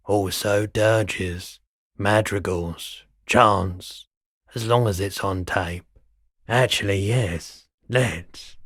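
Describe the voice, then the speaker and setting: An oddly philosophical male pawnshop keeper for a video game. His voice sits on the low side and he takes his time, stretching phrases out in a deadpan, laid-back way. The sound is dry, with no room around it. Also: dry recording. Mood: deadpan, mellow haggling. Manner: deadpan, unhurried, drawn-out phrasing. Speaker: shopkeeper character. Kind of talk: video game character dialogue.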